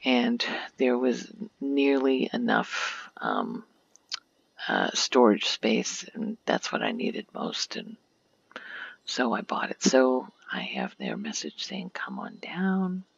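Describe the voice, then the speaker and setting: A woman giving a soft-spoken ASMR game tour in a gentle, informal, hushed voice that often slips into a whisper, on a muffled home recording. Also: extremely low pitch, huge pitch swings, slow pace, vocal fry, slightly rough. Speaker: ASMR video creator and gamer.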